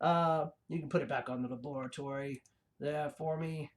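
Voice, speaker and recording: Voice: medium pitch, steady tone, moderate pace, natural voice. A performer voicing a gloating reptilian mad scientist in human disguise, hosting a comic livestream in a pompous, rambling, villainous manner. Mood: rambling, boastful. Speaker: host of a livestream show. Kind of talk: comic in-character villain monologue.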